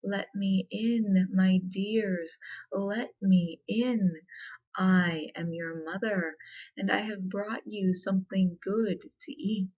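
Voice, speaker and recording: A woman voicing a sly, hungry old male wolf in a slow, coaxing ASMR storybook reading. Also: mid-range voice, steady tone, a little mellow, slightly rough, highs cut off.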